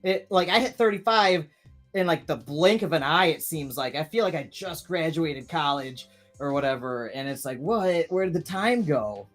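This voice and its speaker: A man's voice: on the high side, lively intonation, moderate pace, fairly bright tone, faint music behind. A man in his thirties hosting a live stream, chatting casually and rambling with many restarts while reading viewer comments. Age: 30s.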